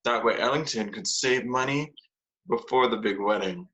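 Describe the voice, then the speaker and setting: A man's voice: mid-range voice, normal speed, pushed and bright, highs cut off, close to an everyday voice. An actor playing a wry young male housemate confiding in a comedy table read. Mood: explaining. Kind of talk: comedy script read aloud. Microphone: video call.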